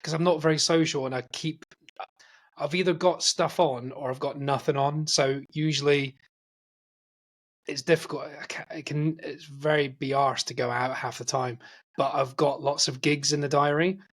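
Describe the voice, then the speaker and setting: A man's voice: a little high, fairly even intonation, moderate pace. A man, hosting a podcast and chatting in a rambling, self-deprecating way. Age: 40s. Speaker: podcast host. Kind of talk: casual chat.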